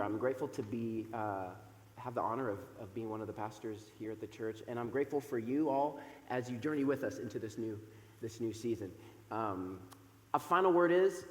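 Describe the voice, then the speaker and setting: A man in his thirties, a pastor speaking from the pulpit in a church sanctuary through a microphone, loud and chatty with many fillers. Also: mid-range voice. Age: 30s. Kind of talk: sermon. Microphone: microphone over a PA system. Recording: echoey church hall with long reverb.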